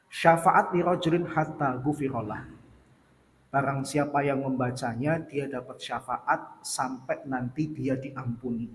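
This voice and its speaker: A man preaching a study lecture in a mosque, speaking with a sore throat in a steady teaching tone and reciting Arabic prayers and sayings. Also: medium pitch. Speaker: Islamic preacher. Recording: distant voice with long hall reverb and faint noise.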